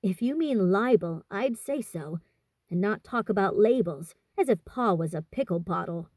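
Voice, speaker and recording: A woman's voice: mid-range voice, expressive, moderate pace, a little mellow, no echo, clean studio sound, close to an everyday voice. A woman voicing a blunt, boyish teenage tomboy in a storybook, brash and plain-spoken. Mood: teasing.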